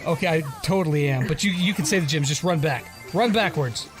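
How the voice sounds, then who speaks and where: A man's voice: fairly high pitch, a little fast, music in the background. A man hosting in a casual, chatty way, as for gameplay and interviews. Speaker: interviewer and host.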